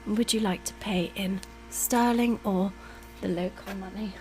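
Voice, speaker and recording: A soft-spoken woman doing an ASMR roleplay as a polite, attentive air hostess, often dropping into whispers. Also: mid-range voice, steady tone, measured pace, background noise.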